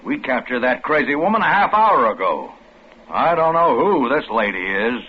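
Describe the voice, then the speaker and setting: A male actor playing a brisk, matter-of-fact police officer in a 1940s radio drama. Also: mid-range voice, big pitch swings, close to an everyday voice. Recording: muffled, noisy old radio broadcast.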